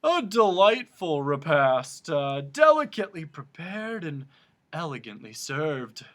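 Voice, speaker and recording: A pompous, wheezing humbug creature with a high, swooping, showy voice, as a storyteller puts him on. He talks in flowery, repetitive phrases, rising to loud, drawn-out declarations in a storybook reading. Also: big pitch swings, exaggerated voice.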